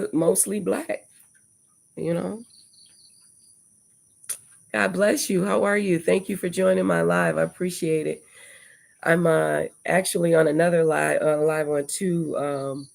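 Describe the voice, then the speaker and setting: A woman's voice: deep voice, vocal fry. An older American woman hosting a casual livestream at home, rambling and reflective as she talks through long lists of thoughts. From United States. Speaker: livestream host. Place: indoors at home before dawn.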